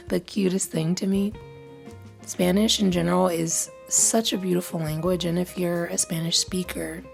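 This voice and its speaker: Brief: female travel vlogger, low and a little gravelly, chatty, guitar music behind. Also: a little mellow. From Cuban family, grew up in the US. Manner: chatty, laughs easily. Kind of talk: casual vlog chat and voice-over narration.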